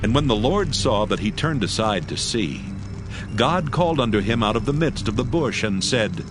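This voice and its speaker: A man narrating scripture from a script in a formal, measured manner. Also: medium pitch, sing-song, background noise. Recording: music behind the voice.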